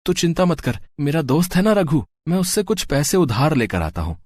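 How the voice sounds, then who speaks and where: A man's voice: fairly high pitch, big pitch swings, moderate pace, darkish tone. A man voicing a poor, humble, devout servant in a cartoon moral story, speaking hesitantly and deferentially. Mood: earnest.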